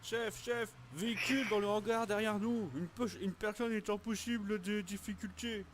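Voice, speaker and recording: A man's voice: sits high, steady tone, moderate pace, slight background noise. A man chatting casually during an online roleplay game.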